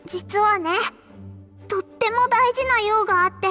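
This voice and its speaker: A woman's voice: very high-pitched, unhurried, bright, forceful tone, heavily acted. A woman voicing a sweet, eager little girl adventurer in an anime-style game, childlike and earnest. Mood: bright, eager. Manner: childlike, earnest, refers to herself by name. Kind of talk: Japanese anime-style game dub acting. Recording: music behind.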